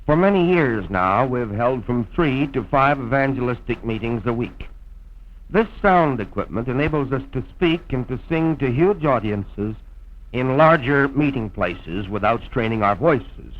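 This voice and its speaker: Dull old film-soundtrack American announcer man. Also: mid-range voice. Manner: formal, measured announcer's diction. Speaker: radio program host and narrator. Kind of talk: scripted promotional film narration, old-time radio delivery.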